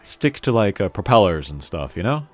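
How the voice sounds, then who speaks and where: A man with a low voice gives an informal let's-play commentary. His delivery is animated in pitch but loose and rambling, full of opinions. Faint game music plays behind him. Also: moderate pace. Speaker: video game streamer.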